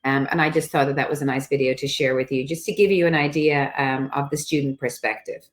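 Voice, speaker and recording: A woman presenting slides at an online study fair, relaxed and filling pauses with ums. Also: extremely low pitch. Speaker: international office presenter.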